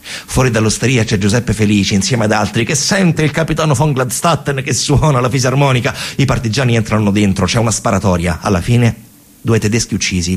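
A man's voice: lowish voice, breathy edge. A man with a central Italian accent from Lazio delivers a dramatic narrative theatre monologue, colloquial and emphatic, repeating phrases for effect. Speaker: storyteller actor in a radio theatre monologue.

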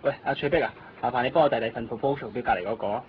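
An actor playing a brisk, eager-to-please office manager in a 1990s radio drama, with a Hong Kong Cantonese accent sprinkled with English, often seeking agreement. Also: male voice, mid-range voice, close to an everyday voice. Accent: Hong Kong Cantonese with English words. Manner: chatty, seeks agreement with tag questions. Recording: muffled radio recording, some noise.

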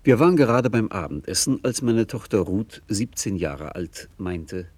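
A middle-aged father in a late-sixties radio drama. His voice is fairly low and husky, and he speaks in a measured, reflective way, conciliatory and thoughtful. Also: expressive, a little mellow. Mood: reflective narration. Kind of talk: late 1960s German radio play acting, narration and dialogue.

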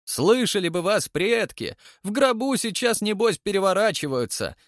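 An imperious old chairman of a secret society in an audiobook drama, acted out by a male narrator. His voice climbs high and swings widely as he scolds and insists, full of indignation. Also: expressive, normal speed, fairly bright tone, dry recording, clean studio sound. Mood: indignant scolding.

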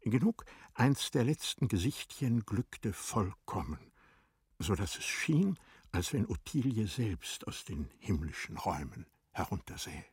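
A man narrating literary prose from a script in a measured, formal manner for radio. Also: lowish voice, sing-song, husky, no echo, studio quality.

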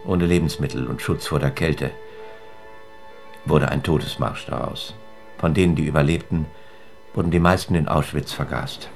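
An actor playing a grave museum historian, giving a sober, measured account in a radio drama. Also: male voice, rumbling low, creaky. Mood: grave, factual. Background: faint music.

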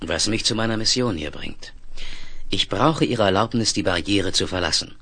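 A man's voice: lowish voice, normal speed, bright, forceful tone, husky, no echo, exaggerated voice. A man in a 1970s German radio play, acting a daring young reporter on a hostile planet, confident and articulate. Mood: confident, dry. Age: young adult. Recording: noisy vintage radio play with vehicle ambience.

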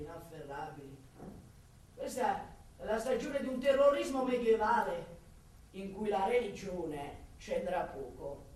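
An actress playing a sardonic, brooding police detective in a theatrical radio drama monologue. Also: female voice, mid-range voice, close to an everyday voice. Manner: dramatic, shifting from snappy retorts to pensive musing. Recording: distant, very echoey room, faint noise.

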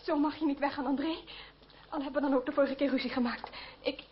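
A woman's voice: high-pitched, fairly even intonation, fast talker, close to an everyday voice. An actress playing a young noblewoman in a radio play, impulsive and tender, with an emotional, hesitant manner. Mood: pleading. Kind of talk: Dutch radio play acting. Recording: dull, very noisy old radio recording.

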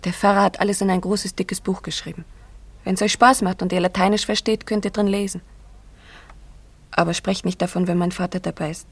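An actress playing a young, warm-hearted village girl with a secret, earnest and often hushed, in a German radio drama. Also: fairly low pitch, level tone, fairly quick, breathy edge. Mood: secretive.

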